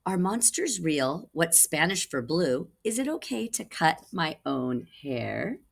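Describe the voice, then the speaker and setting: A woman reads a storybook aloud to young children in a low-pitched voice. Her delivery is very animated, rising and dipping widely, with dramatic stress on key words in the manner of a reading teacher. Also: takes it slowly, gravelly. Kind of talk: expressive children's read-aloud.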